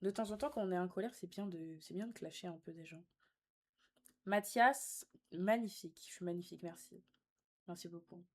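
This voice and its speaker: A woman's voice: on the low side, normal speed. Young woman, sassy live streamer. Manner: teasing, sassy, slangy. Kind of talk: casual live chat, reading and answering viewer comments.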